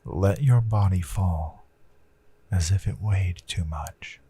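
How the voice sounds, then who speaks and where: A man voicing a gentle, reassuring hypnotist in a hushed, breathy guided meditation that often slips toward a whisper, with long deliberate pauses. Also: low-pitched, exaggerated voice. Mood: calm, soothing. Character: gentle male hypnotist Count, calm and reassuring. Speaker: hypnotist, audio host.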